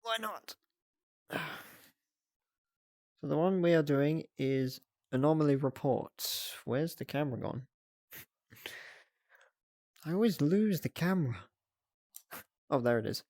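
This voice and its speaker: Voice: medium pitch, animated, takes it slowly, pauses often, darkish tone, no room sound. Giggly streamer just chatting away, takes their time, close dry mic. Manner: giggly, chatty, thinking aloud. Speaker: livestreamer hosting a reaction stream. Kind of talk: casual livestream chat.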